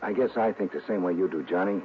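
Man playing deep-voiced, shrewd radio insurance man. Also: fast, close to an everyday voice. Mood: confiding. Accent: American. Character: genial, shrewd male insurance man, former narcotics investigator. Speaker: supporting actor in a radio detective drama. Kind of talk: 1960s radio acting. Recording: tinny, hissy old radio recording.

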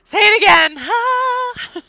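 A woman co-hosting a casual podcast chat, her voice slightly scratchy and tired, talking in a chatty, animated way. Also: very high-pitched, dry recording. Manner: chatty, animated, quick laughs. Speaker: podcast co-host.

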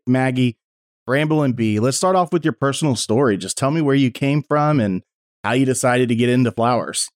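A friendly man hosts a podcast interview with an easy, chatty flow. His voice sits at a middle pitch. The sound is dry and close, with no room echo. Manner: friendly, chatty, reads ad copy smoothly. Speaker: podcast host. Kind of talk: casual interview chat.

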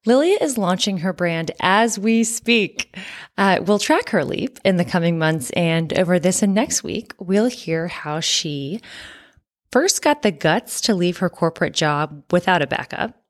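A woman's voice: lowish voice, lively intonation, unhurried. A woman hosting a podcast, reading an upbeat intro and then interviewing a guest in a chatty, friendly way. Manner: upbeat, chatty, laughs often. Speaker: podcast host.

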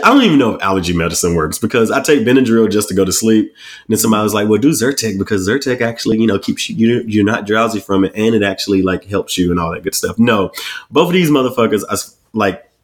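A man co-hosting a casual chat, blunt and profane, talking with animated swings. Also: fairly low pitch, quick pace. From Washington DC area. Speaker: podcast co-host.

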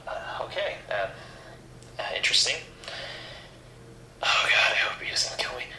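A man's voice: a little slow, pushed and bright, in a whisper. A man playing a nervous interviewer who stammers and hedges through his questions in a comedy sketch. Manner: hesitant, stammering, nervous asides. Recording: roomy echo, faint background hum.

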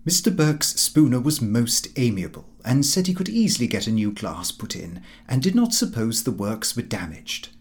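Guy reading an old comic diary audiobook, kinda fussy and proper, pitch moves around a lot. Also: very animated, moderate pace, few pauses, darkish tone. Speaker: audiobook narrator. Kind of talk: scripted narration of a comic diary novel.

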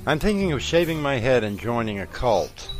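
A man's voice: mid-range voice, very animated, moderate pace, soft background music, natural voice. A confident, teasing fiancé acted by a man in an audio drama, a lawyer very sure of himself. His middle-range voice swings expressively as he probes and needles with smug ease. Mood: teasing, reassuring. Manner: self-assured, teasing. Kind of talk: scripted audio drama acting.

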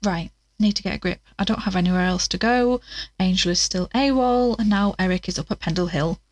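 A woman's voice: mid-range voice, fairly quick, dry recording, lightly acted. A woman playing a plucky, curious investigator in an audio drama, chatting to listeners with wry asides as she searches. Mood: worried.

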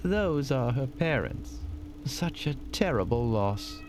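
A courteous male android in an audio drama. He speaks very slowly and solemnly with wide melodic swings and eerie politeness, music underneath. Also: medium pitch, big pitch swings, darkish tone. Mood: mournful, calm. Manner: slow, measured, eerily polite.

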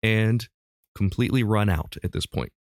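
A man's voice: lowish voice, moderate pace, no room sound, clean studio sound. A middle-aged man hosting a podcast quiz, reading questions and chatting casually. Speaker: podcast host running a quiz.

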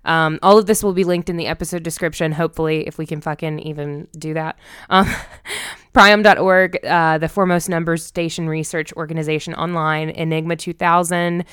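A woman's voice: low voice, fairly even intonation, a little fast, a bit bright, clean tone, dry recording, clean studio sound. A woman co-hosting a podcast in casual, informal chat with some swearing. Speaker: podcast co-host.

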